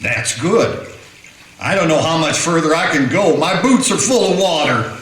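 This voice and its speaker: A man's voice: a little high, expressive, normal speed, a bit bright. A man playing a fretful, whiny frontier sidekick. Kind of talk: community radio play acting. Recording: echoey room.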